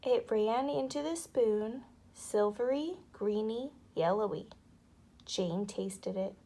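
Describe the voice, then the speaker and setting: A woman narrating a children's story aloud with expressive, dramatic delivery. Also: medium pitch, takes it slowly.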